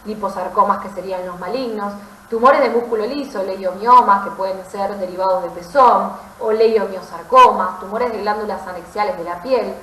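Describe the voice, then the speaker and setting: A woman's voice: fairly high pitch, a bit bright. A woman with an Argentine accent giving an explanatory medical lecture, didactic, often checking understanding with short tag questions. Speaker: pathology lecturer, physician. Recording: roomy, echoey voice recording.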